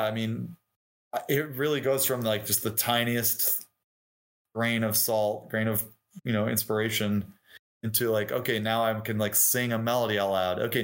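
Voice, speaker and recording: Middle aged guy, lowish voice, musician chatting casually in an interview, talks a lot and acts out little quotes. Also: level tone, moderate pace. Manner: informal and talkative, voicing imagined quotes. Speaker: interview guest, touring musician and singer. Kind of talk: casual conversational interview, explaining craft.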